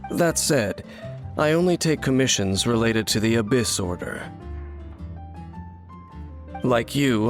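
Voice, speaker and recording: A man playing a brooding, world-weary young wanderer who speaks in measured, solemn, cryptic phrases in a video game. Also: mid-range voice. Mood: composed, businesslike. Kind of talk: dramatic video game dialogue. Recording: studio voice over game music.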